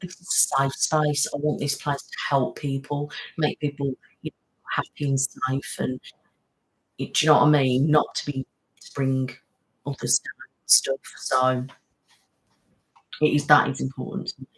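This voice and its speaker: A woman's voice: a bass voice, little pitch movement, unhurried, long pauses, low-quality recording. A middle-aged British woman, an ASMR channel host, chatting casually on a live stream and answering viewers, rambling with many fillers. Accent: British English.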